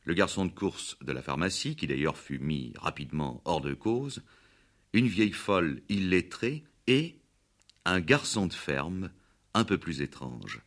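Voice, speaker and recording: Deep-voiced male radio drama narrator. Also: big pitch swings, unhurried, a bit bright.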